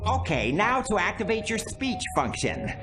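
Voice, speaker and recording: Fussy, lively-pitched technician. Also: male voice, moderate pace. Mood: fussy, concerned. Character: fussy, nervous, kindly male technician. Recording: loud music behind.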